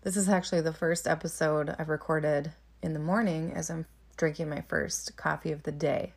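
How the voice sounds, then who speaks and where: A woman with a slightly raspy voice, chatting casually and candidly as a podcast host. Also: low voice, fairly even intonation, moderate pace. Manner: candid, informal.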